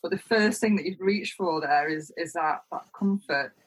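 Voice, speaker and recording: A woman interviewer asking gentle, thoughtful questions, often restarting her words as she shapes them. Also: lowish voice, moderate pace, slightly rough, low-quality recording. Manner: thoughtful, hesitant, repeats words while forming questions.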